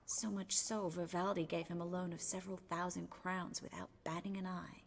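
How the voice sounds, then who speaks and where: An actress playing a guarded, world-weary sorceress in a video game, speaking in a soft, breathy, wry and measured way. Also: female voice, fairly low pitch, level tone. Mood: hushed, confiding. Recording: long reverberant hall.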